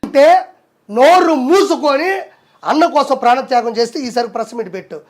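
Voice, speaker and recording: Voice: high-pitched, animated, brisk, soft background music. A person delivering a scornful, confrontational political rant. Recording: very noisy recording.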